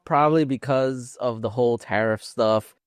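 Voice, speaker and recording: A man's voice: mid-range voice, moderate pace, clean tone, no echo, clean studio sound. A man co-hosting a casual gaming podcast, talking in a rambling, opinionated way peppered with "like". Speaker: podcast co-host.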